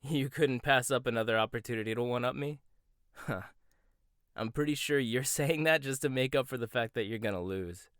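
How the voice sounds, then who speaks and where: Smug rival chef, a young man voicing him, teasing and competitive, mid pitch, dry close-mic ASMR. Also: normal speed, a bit bright, clear, resonant tone, dry recording, close to an everyday voice. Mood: taunting. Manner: teasing, smug, laughs often. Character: cocky rival male chef, condescending and competitive. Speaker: voice actor. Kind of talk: boyfriend ASMR roleplay.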